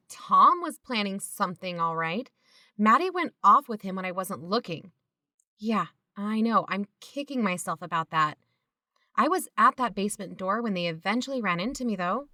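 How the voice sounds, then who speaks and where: A woman's voice: medium pitch, animated, normal speed, sharp tone, dry recording, clean studio sound. An actor playing an earnest, shaken woman investigator in an audio drama styled as a podcast. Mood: explaining, rueful.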